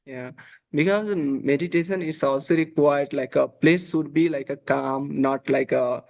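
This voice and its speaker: Hesitant young man, halting English. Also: on the high side, creaky, a little mellow. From Nepal. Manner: hesitant, many fillers and restarts. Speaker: host. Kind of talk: casual English practice conversation.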